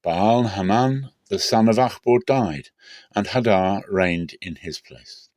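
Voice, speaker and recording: A man narrates scripture in a low voice with a dry, close sound. His delivery is measured and deliberate, shaped as a dramatic reading. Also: a little mellow, no room sound. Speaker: Bible reader.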